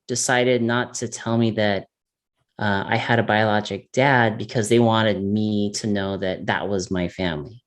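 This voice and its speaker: Animated man chatting as a guest. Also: mid-range voice. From California. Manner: animated, laughs often. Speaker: interview guest, mountain biker. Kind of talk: casual conversation.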